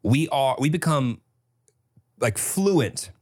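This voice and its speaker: A man co-hosts a podcast and teases his partner in a playful, affectionate way. His voice is mid-pitched and relaxed, and the sound is dry and close on a studio mic. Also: slow, no echo. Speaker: podcast co-host. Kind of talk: casual chat.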